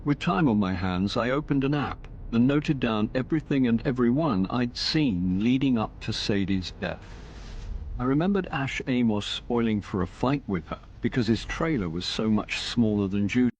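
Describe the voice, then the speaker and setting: An actor playing a wry, nosy middle-aged British amateur detective in a radio drama, speaking and narrating in a dry manner. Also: male voice, mid-range voice, expressive, normal speed, darkish tone, lo-fi sound, slight background noise, close to an everyday voice. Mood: wry, reflective. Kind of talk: radio drama acting with first-person narration.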